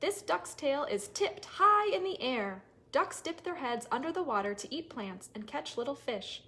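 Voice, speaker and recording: A woman leading a children's story time, reading aloud in an animated, sing-song way and putting questions to young listeners. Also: high-pitched, normal speed. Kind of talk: animated read-aloud for toddlers.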